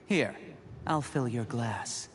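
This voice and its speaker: An actor playing an ambitious young male official in a video game, measured and polite on the surface with bitterness underneath. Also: medium pitch, expressive, crowd noise. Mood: deferential, composed.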